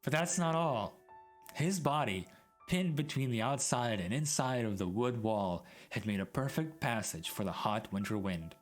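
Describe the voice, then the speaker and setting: Theatrical man reading aloud, swooping pitch. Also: expressive, normal speed. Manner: theatrical, mock-grand storyteller delivery. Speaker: streamer reading a story aloud. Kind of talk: dramatic storybook reading. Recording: background music mixed under voice.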